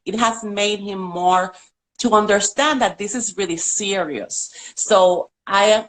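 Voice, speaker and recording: A woman's voice: medium pitch, poor audio. Chatty Puerto Rican woman on a call. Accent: Puerto Rican Spanish. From Puerto Rico. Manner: earnest, chatty, laughs often. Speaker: caller on a live stream. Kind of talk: casual video call chat.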